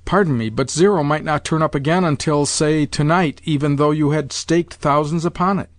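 A man voicing a polite, cautious male companion with measured, courteous delivery in an audiobook. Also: a little high, dry recording, lightly acted. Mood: patient, cautioning. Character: cautious, polite younger male companion, remonstrating with an old lady. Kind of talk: audiobook character dialogue.

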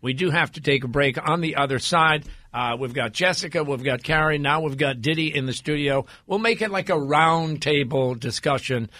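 Bright, forceful male radio host. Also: fairly high pitch, moderate pace, hardly any pauses, no echo. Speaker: radio talk show host. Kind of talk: casual on-air interview. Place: radio studio. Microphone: close studio microphone.

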